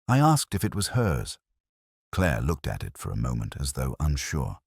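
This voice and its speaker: A man voicing a wry, literary middle-aged male detective, narrating a mystery in a measured, reflective first person. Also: extremely low pitch, wildly swooping pitch, vocal fry, breathy edge, no room sound, clean studio sound, heavily acted. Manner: measured, reflective, quietly observant.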